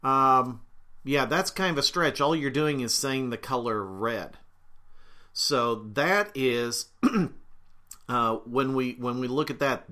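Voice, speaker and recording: Podcast host: middle-aged man, bright timbre, mildly stuffed up with a cold, unhurried and full of fillers. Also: medium pitch. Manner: unhurried, with fillers and frequent throat-clearing. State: slightly congested, with a cold. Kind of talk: casual explanatory talk.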